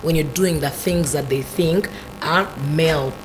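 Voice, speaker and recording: A Ugandan woman with a deep, low voice talks through her ideas on a talk show, measured and explanatory. She keeps adding "you know" as she thinks aloud. A clear hum of noise sits under her voice. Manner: thoughtful, explanatory, many "you know" asides. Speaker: guest.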